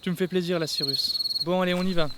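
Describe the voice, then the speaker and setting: A man's voice: high voice, steady tone, quick pace, heavy background noise, close to an everyday voice. A man playing a patient, cautious, mildly exasperated trek leader in an audio drama, talking colloquially. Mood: reassuring. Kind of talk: French audio drama acting.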